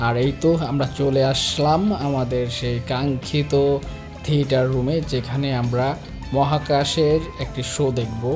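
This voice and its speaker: A man's voice: mid-range voice, steady tone, normal speed. A man with a Bangladeshi accent narrating a guided tour as a travel vlog host, explaining things directly to the viewers. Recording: music laid behind the voice.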